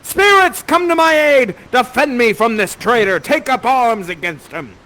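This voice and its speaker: A man's voice: extremely high pitch, lively intonation, a little slow, bright, forceful tone, voice pushed up, exaggerated voice. A man playing an ardent, honorable young prince in a verse play, declaiming in a melodramatic way. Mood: bold.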